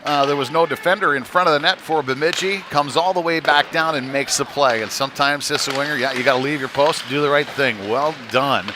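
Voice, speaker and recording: A man's voice: a little high, brisk, few pauses. Male hockey commentator over rink noise. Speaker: play-by-play commentator. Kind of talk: live youth ice hockey commentary. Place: ice rink during a live game. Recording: noisy rink with ambient clatter behind. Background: rink game noise.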